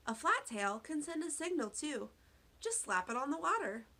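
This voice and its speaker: A woman's voice: high-pitched, big pitch swings, moderate pace, pushed and bright, voice pushed up, exaggerated voice. A woman voicing a kindly female sun in a children's storybook, explaining things in an encouraging way. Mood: reassuring.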